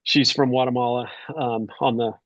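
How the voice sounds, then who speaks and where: A man's voice: medium pitch, steady tone, normal speed, no echo, lo-fi sound. A middle-aged man talking casually as a podcast guest, rambling with lots of fillers and restarts. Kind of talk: casual conversation.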